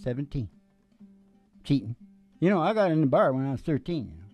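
A middle-aged man hosting a music show, chatting casually and telling rambling, animated stories. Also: fairly high pitch, fairly quick. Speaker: singer and music show host. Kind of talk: casual chat and storytelling between songs. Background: acoustic guitar.

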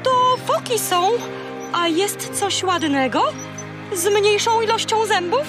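Squeaky, panicky seagull. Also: female voice, animated, slow, music in the background, heavily acted. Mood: frightened, fussy. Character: jumpy feathered seagull, fussy and easily frightened. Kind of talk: children's audio drama acting.

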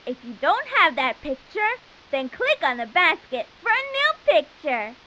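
A woman voicing a cheerful, encouraging little girl explorer in a children's game, speaking emphatically and clearly. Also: high voice, sing-song, full character voice, low-quality recording. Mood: friendly instructing. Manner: bright, emphatic, slow and clearly enunciated, exclaiming praise. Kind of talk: children's game voice acting, instructing the player.

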